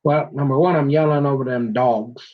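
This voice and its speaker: A man's voice: medium pitch, moderate pace. A man in a rambling, casual monologue, talking straight to his viewers and restarting his sentences often. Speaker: vlogger. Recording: dull lo-fi audio.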